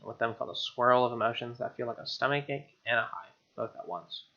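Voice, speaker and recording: A man's voice: mid-range voice, brisk, sharp tone, highs cut off. A man reading a visual novel aloud as a gamer, mixing narration with informal, slangy asides. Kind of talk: dramatic reading with casual asides.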